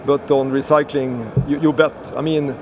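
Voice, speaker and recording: A man from Sweden speaking as a panelist on an online panel, earnest and halting, often repeating words. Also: medium pitch, fairly even intonation, noisy background.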